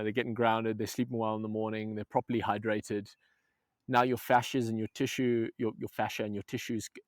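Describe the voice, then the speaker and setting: A man's voice: lowish voice, dry recording. Earnest rambling man, dry sound. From Zambia. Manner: earnest, rambling, many restarts, keeps saying "man". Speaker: movement coach guest. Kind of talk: casual podcast conversation.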